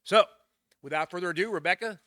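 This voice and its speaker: A man introducing speakers at a meeting, halting with many uhs. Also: high voice, noisy background. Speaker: meeting emcee.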